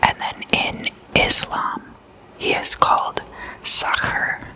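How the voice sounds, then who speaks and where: An ASMR host whispering casually in a breathy, intimate way, softly lingering over words as if telling stories to a friend. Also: takes it slowly, low-quality recording.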